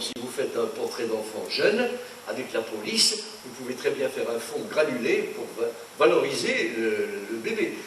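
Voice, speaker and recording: An older man from France, a painter, giving a rambling, indignant talk to an audience, full of asides and anecdotes. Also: mid-range voice. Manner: animated, indignant, digressive storyteller. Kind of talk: rambling lecture. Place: conference hall. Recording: echoey room, distant mic, noisy.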